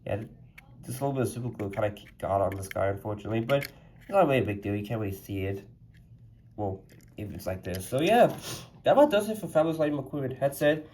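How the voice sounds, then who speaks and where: A man's voice: mid-range voice, darkish tone, a little hiss or hum. A man reviewing a custom toy car in a casual vlog, rambling and chatty with a slightly congested voice. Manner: rambling and chatty, lots of "like" fillers.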